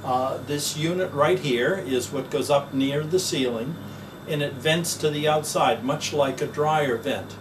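An older man talks through his own product in a plain, folksy sales manner, pausing on "uh" now and then. His voice sits a bit high for a man. He is recorded from a few feet away, with a steady fan hum in the background. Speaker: business owner pitching his product. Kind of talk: promotional demonstration. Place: office, then a basement demo wall indoors. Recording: distant mic with audible fan hum.

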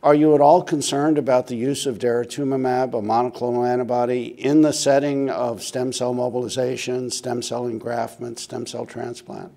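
A middle-aged American man moderating a medical panel, asking questions in a deliberate, formal way. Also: mid-range voice, flat delivery, creaky, warm tone. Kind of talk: interview questioning. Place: indoor conference interview set.

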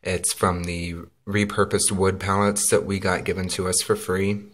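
A man filming a do-it-yourself cabin build and talking casually, with plenty of "uh" and "um" fillers. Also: sits low. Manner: relaxed, lots of uh and um fillers. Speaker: vlogger filming a DIY build. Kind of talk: casual home video narration. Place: backyard, then inside a small wooden cabin.

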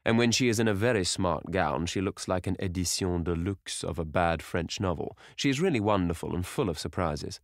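A man's voice: sits low, animated, brisk, few pauses, no room sound. A man doing a drawling, witty aristocrat. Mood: ironic. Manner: drawling, dry, ironic. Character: languid, witty aristocratic gentleman.

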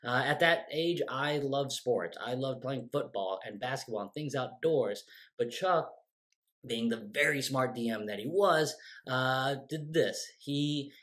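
Man in his forties, playwright, casual storytelling to camera from home, lively and expressive. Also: unhurried. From United States. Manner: animated, gestures a lot, quotes his younger self with lively shifts in pitch. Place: home room with bookshelves and windows. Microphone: close webcam framing.

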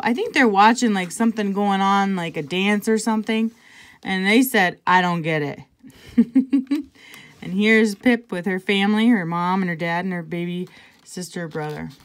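A woman reading a picture book aloud to children, conversationally, pausing for casual asides and check-in questions. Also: medium pitch, expressive. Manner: conversational, pointing things out and asking check-in questions. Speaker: intervention specialist reading to children.

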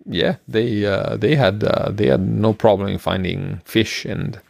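Middle-aged male podcast co-host, gravelly and fairly deep, rambling conversational explainer. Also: a little slow, a little mellow, no room sound. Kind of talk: casual explanatory chat. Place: small room at home, on a video call. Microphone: close microphone, headphones.

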